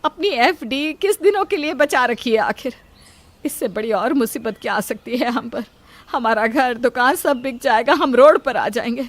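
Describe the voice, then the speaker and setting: A woman's voice: fairly high pitch, normal speed, no room sound, voice pushed up, close to an everyday voice. A woman voicing a worried, self-sacrificing elderly mother in an emotional, pleading way for a moral story. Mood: alarmed, urging.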